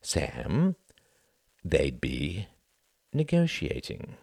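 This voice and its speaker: A man voicing a cool, bored, dry-witted gentleman who speaks languidly. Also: a bass voice, wildly swooping pitch, drawn-out words, gravelly, husky, dry recording, full character voice. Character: cool, wry male former professor's assistant.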